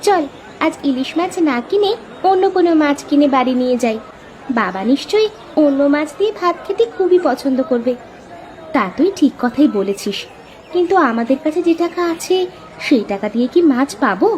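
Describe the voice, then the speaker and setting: A woman's voice: high voice, animated, a little fast, a little mellow, a little hiss or hum. A woman voicing a caring, dutiful young village daughter in an earnest, simple way for a moral-story cartoon. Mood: earnest, wistful.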